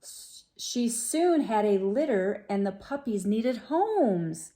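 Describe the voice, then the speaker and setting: A woman reading a storybook aloud to children, talking to them directly in an expressive, exclaiming manner. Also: medium pitch, takes it slowly. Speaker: children's read-aloud host.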